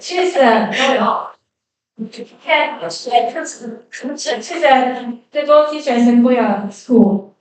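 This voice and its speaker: A woman's voice: fairly high pitch, darkish tone, distant mic. Woman with a Chinese accent in an echoey hall. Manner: halting, with many fillers and self-corrections. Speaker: violinist introducing fellow musicians. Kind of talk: onstage introductions at a concert. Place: concert hall.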